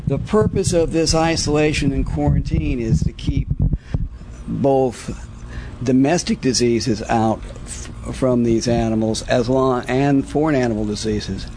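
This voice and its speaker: Careful, halting man explaining procedures. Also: mid-range voice, steady tone, background noise. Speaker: quarantine facility veterinarian, interviewee. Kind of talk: explanatory interview answers.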